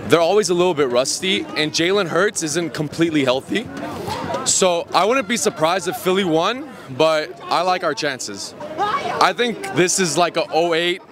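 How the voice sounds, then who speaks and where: A man's voice: on the high side, animated, normal speed, crowd noise. A young man, a rival team's fan, gloating cockily in a street interview at night. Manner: cocky, gloating. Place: city street at night outdoors. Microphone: handheld mic. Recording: noisy city street.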